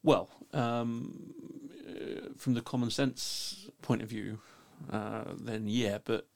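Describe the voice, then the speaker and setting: Blunt gravelly man with London accent. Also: mid-range voice, steady tone, slow pace. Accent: London English. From England. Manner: blunt, opinionated, stumbling restarts. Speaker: guest linguist. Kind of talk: casual opinionated discussion.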